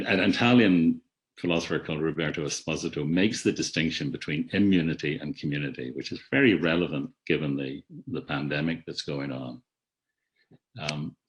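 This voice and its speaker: A man giving a reflective academic talk in a webinar, measured, with frequent restarts and words that trail off softly. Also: very deep voice, rough recording. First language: not an Irish speaker. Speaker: webinar speaker. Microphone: video call.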